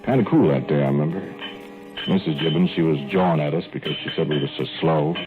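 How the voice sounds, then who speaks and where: A folksy small-town plumber on old radio. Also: male voice, very deep voice, fast. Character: young male small-town plumber, easygoing and wry. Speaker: narrator and plumber. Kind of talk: 1940s radio drama acting. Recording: tinny old radio broadcast with faint music.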